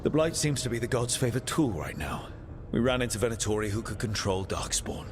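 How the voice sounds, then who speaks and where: An actor playing a wry, determined hero mage in a video game, plain-spoken and dryly sardonic. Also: male voice, lowish voice, sing-song, brisk. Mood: serious. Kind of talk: dramatic video game dialogue. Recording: long hall reverb, clear background noise.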